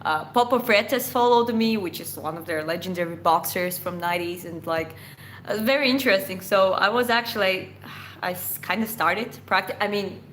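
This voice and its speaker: A Georgian woman with an Eastern European accent, Georgian being her first language, chats through a viewer Q&A. Her voice sits at an ordinary middle pitch. She reads questions aloud and answers them with plenty of ums and restarts, with a faint background hiss. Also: moderate pace, fairly bright tone. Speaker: livestream host answering chat questions. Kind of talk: casual Q&A chat.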